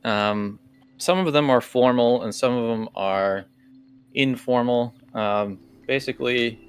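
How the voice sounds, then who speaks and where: A man acting as game master, casually explaining and setting scenes for a tabletop game in a halting, conversational way. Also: medium pitch, a bit bright, smooth.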